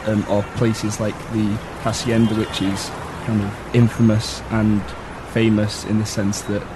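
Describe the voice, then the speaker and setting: A man from Manchester with a Mancunian accent, acting as a local guide and explaining in a relaxed way with lots of ums. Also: lowish voice, measured pace, background noise. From Manchester, England. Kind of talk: casual explaining.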